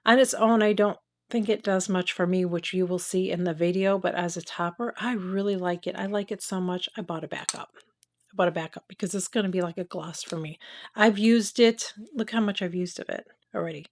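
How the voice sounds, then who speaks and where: A middle-aged woman with a nasal voice from a cold, chatting candidly through a makeup review and tutorial. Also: mid-range voice. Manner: chatty, candid, talks while applying makeup. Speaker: beauty vlogger.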